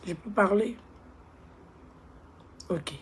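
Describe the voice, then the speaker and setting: A woman playing a remorseful young woman who begs her ex on the phone. She speaks softly and pleads, often close to a whisper. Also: mid-range voice, extremely slow, a little hiss or hum. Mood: pleading. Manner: soft, hushed, pleading, often near a whisper. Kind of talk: comedy skit monologue.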